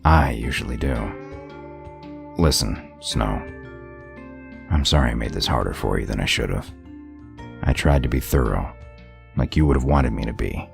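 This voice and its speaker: Radio play role: man voicing a gruff wolfish sheriff, extremely deep, heavy gravel, terse and dryly teasing. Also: moderate pace, pauses often, music in the background, heavily acted. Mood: apologetic. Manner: laconic, dry teasing. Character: gruff, sardonic male wolf lawman, loyal underneath. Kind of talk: audio drama dialogue.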